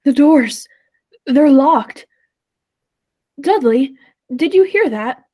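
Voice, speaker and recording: A woman's voice: high-pitched, expressive, very slow, warm tone, full character voice. A woman playing a time traveler's uneasy, doubtful companion in a 1940s-style radio play, earnest and hesitant. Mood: alarmed.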